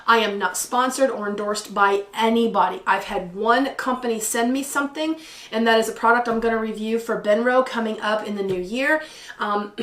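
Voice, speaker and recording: A hoarse woman with a slightly high voice talks casually to camera about her photography channel. She is chatty and excitable, clearing her throat now and then. The sound is roomy, as if she is recorded in an echoey living room. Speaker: photography vlogger. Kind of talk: casual vlog talk to camera. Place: living room at home by a patio door.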